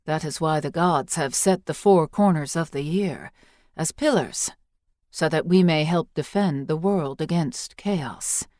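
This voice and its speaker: Casting: woman as elderly fantasy priestess, patient teacher, lowish voice, measured and teasing, dry recording. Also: dry recording. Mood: solemn, instructive. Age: older. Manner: measured, instructive, gently teasing.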